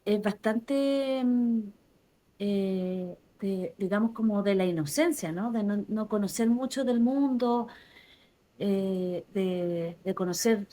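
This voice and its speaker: A woman in her forties with a Chilean accent, speaking softly and reflectively as an interview guest, often restarting her phrases. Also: mid-range voice, slow. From southern Chile. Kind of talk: casual interview conversation.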